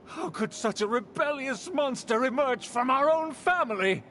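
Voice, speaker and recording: A scandalized aristocratic elder in a video game, wailing his lament in a very high, swooping voice with a bright, piercing edge. He is slow and overdone, every word a theatrical complaint. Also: animated, slight background noise, heavily acted.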